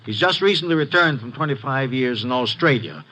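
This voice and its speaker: An actor playing a wealthy, secretive loan company president who speaks hesitantly, with many pauses, in a 1950s radio drama. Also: male voice, medium pitch, lively intonation, sharp tone. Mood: guarded. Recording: dull lo-fi old radio recording.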